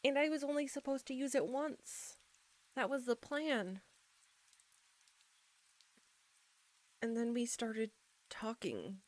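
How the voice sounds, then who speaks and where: A woman playing an embarrassed, anxious young roommate in an intimate audio roleplay, speaking softly and haltingly straight to the listener. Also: on the high side. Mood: flustered, exasperated. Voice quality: soft. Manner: halting, flustered, nervous stumbling.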